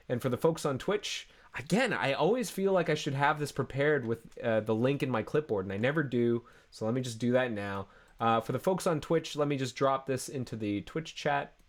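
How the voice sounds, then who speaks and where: A man hosting a coding livestream in a casual, rambling way, sounding congested from a cold, recorded from his home office. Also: mid-range voice. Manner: rambling, self-interrupting, easygoing. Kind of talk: casual live-stream chat.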